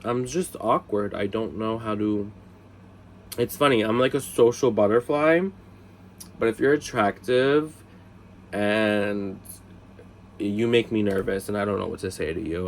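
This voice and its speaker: A man's voice: fairly even intonation, normal speed, slight background noise. Chatty young man telling a story. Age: 20s. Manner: chatty, swearing, lots of "like" fillers; mimics others in high voices. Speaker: storyteller vlogger. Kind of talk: casual storytime chat.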